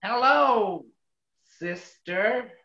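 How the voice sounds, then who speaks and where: Performer as bright, blunt male sleuth sidekick. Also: medium pitch, expressive, very slow, roomy sound, dull audio, full character voice. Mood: flustered. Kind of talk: 1940s radio comedy acting.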